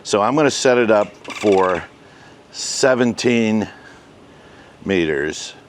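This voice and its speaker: An older man in his sixties giving a casual step-by-step tutorial outdoors as host, with halting, deliberate delivery. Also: fairly low pitch, expressive, a little hiss or hum. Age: 60s. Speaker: host, ham radio hobbyist. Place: outdoors, residential backyard and covered patio.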